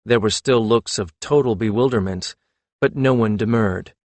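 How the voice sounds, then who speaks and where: A man's voice: fairly low pitch, moderate pace, a little mellow, dry recording. A man reading a scripted fantasy audiobook as narrator.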